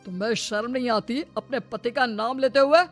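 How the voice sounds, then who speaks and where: A man's voice: very high-pitched, fairly even intonation, moderate pace, faint music behind. A man voicing a domineering, angry village father-in-law in a cartoon story, scolding and commanding. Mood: outraged. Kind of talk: Hindi cartoon story dialogue.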